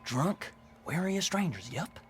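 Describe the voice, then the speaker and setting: Rough outlaw with lively pitch. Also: male voice, measured pace. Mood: laconic. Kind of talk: cinematic western game acting.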